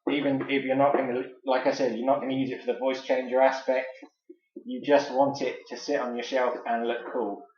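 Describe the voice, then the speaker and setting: A man's voice: medium pitch, little pitch movement, a little fast, a little mellow, a little hiss or hum. Echoey British man reviewing a toy casually. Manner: enthusiastic fan, chatty and informal. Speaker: toy reviewer and video host. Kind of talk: casual unscripted product review. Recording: echoey room, slightly dull sound.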